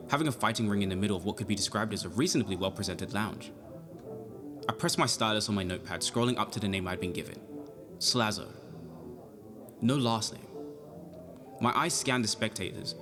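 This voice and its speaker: A man's voice: fairly low pitch, fairly quick, long pauses, lightly acted. A man acting as a nervous, polite young amateur detective who narrates his case in an audio drama. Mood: measured, wry. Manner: hesitant, flustered in dialogue, formal when narrating. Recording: music behind the voice.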